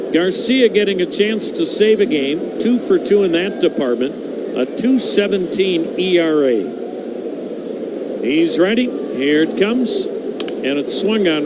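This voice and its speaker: An American man calls baseball live on the radio in a high-pitched voice. He takes his time between phrases, in a measured play-by-play style. Heavy noise runs steadily behind him. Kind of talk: live radio sports commentary. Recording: very noisy broadcast, steady background hum.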